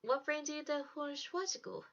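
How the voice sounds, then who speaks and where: A woman's voice: high voice, animated, moderate pace, a bit bright, muffled recording, voice pushed up. A woman voicing an eager, innocent ten-year-old German village boy with a German accent in a storybook reading. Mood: chatty, eager. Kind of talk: storybook character voice.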